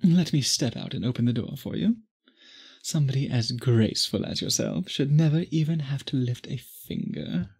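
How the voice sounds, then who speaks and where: A man playing an obsessive, tender vampire suitor in an intimate ASMR roleplay, speaking in formal, gently doting phrases. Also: medium pitch, animated, normal speed, gravelly, breathy edge, exaggerated voice. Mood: doting, courtly.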